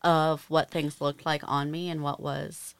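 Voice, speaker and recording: A young woman co-hosting a podcast, speaking softly and hesitantly, often trailing off. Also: low voice, flat delivery, measured pace, clean tone. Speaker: podcast co-host. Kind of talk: casual chat.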